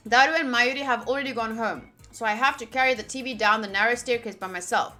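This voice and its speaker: A woman's voice: fairly high pitch, quick pace, bright, forceful tone, soft background music. A woman game streamer reading game text aloud and chatting informally with casual asides.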